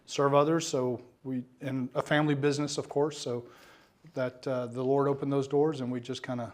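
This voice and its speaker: A man's voice: medium pitch, flat delivery, moderate pace, a little mellow. A man who owns a family bakery, answering interview questions politely and modestly. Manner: polite, says "yes, sir", modest. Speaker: bakery owner, guest.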